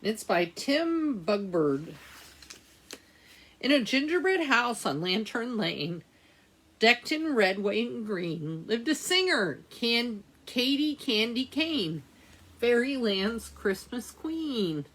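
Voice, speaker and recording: A woman reads a children's story aloud in a slightly high voice. Her pitch moves up and down a great deal, and she takes her time, drawing out phrases for young listeners. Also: big pitch swings, fairly bright tone. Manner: dramatic, drawn-out phrasing with chatty asides to listeners. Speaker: children's storytime reader at a library. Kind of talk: expressive picture-book read-aloud for young children.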